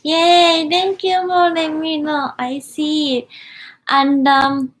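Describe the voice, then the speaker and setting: A woman's voice: sits high, level tone, slow. A young Japanese woman in her twenties with a Japanese accent, chatting on a live stream from a small room and cheerfully reacting to viewer comments. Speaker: YouTuber. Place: small indoor room with wooden cabinets.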